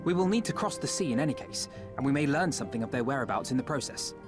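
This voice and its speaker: A man's voice: medium pitch, animated, quick pace, over music, lightly acted. A man in a game cutscene proposing a plan earnestly. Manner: earnest, decisive planning. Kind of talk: video game cutscene acting.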